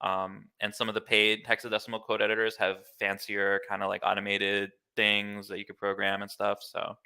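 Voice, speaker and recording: A man's voice: on the low side, monotone, moderate pace, bright, forceful tone, clear, resonant tone. An American man in his thirties, from Miami, chatting informally as an interview guest and explaining things in an easygoing way. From Miami, United States. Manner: easygoing, informal, filler-heavy with "like" and "kinda". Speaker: interview guest, glitch artist. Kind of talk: casual explanatory chat.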